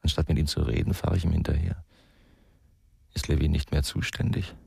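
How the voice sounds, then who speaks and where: Gruff brooding ex cop in a radio krimi, super deep croaky voice, close dry sound, terse muttering. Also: male voice, steady tone, brisk, warm tone, no room sound, exaggerated voice. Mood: brooding, irritated. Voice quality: gravelly, husky voice. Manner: terse, muttered inner monologue. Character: brooding, gruff ex-policeman turned investigator. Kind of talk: German radio crime drama acting.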